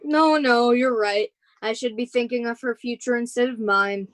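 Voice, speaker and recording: A woman's voice: a little high, steady tone, moderate pace, no echo, close to an everyday voice. Woman as a strained father, dry close sound. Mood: defensive, guilty. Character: strained, self-absorbed father and novelist, dismissive then apologetic. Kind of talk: live radio drama acting.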